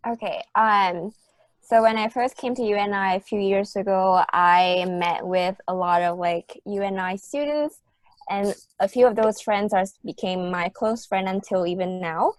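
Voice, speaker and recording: A woman's voice: mid-range voice, unhurried, no echo. Female student, early 20s, Vietnamese accent, informal panel speaker, mid-range voice in a dry recording. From Hanoi, Vietnam.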